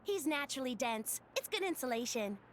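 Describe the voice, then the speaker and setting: A woman's voice: sits high, sing-song, brisk, a little hiss or hum. A woman voicing a young female companion in a video game cutscene. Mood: teasing. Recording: loud game music behind voice.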